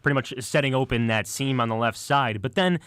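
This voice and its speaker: Earnest male sports radio analyst, medium pitch. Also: a little fast, fairly bright tone, clean tone, dry recording. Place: radio studio. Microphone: close studio microphone.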